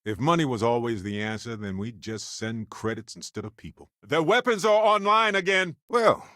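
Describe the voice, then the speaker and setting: An actor playing a warm, wry, chatty space explorer companion in a video game, with an affable, teasing and theatrical delivery. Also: male voice, medium pitch, animated, moderate pace, no room sound, studio quality. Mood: upbeat, urgent.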